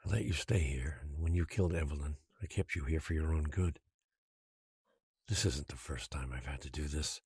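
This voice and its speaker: An actor playing a patient, controlling guardian who secretly rules an island, speaking in a measured, quietly menacing way in an audio drama. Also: male voice, rumbling low, husky, no echo, exaggerated voice. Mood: grave, justifying.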